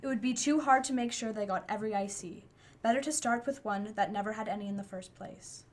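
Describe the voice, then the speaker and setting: A woman's voice: medium pitch, a little fast, natural voice. A woman playing an impersonal machine intelligence in a radio play, reporting findings in a formal, precise, matter-of-fact way. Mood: clinical, matter-of-fact.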